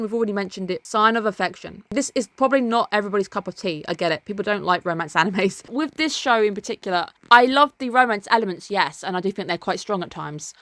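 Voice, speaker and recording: A woman's voice: mid-range voice, a bit bright, no echo. Chatty, enthusiastic woman. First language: English. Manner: chatty and enthusiastic, with quick asides and laughs. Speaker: anime reviewer. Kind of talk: casual video review.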